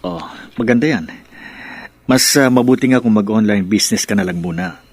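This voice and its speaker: Devoted young husband, low and slightly rough, affectionate, soothing, radio melodrama. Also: male voice, lively intonation, normal speed, darkish tone. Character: devoted young husband, reassuring, proud provider. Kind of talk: melodramatic radio drama acting.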